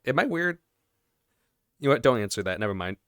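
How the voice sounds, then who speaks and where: A man's voice: mid-range voice, very animated, a little fast, background noise. A man giving casual reaction commentary while watching a video game story.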